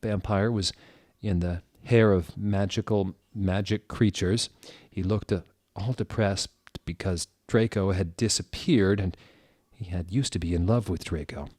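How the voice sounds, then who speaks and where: A man with a fairly low voice reads fan fiction aloud as comedy. His delivery is theatrical and sardonic, and he drops out of the story for asides. The recording is close and dry. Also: steady tone, a little slow, darkish tone, no room sound. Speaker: reader. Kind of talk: mock-dramatic comedic reading of fan fiction.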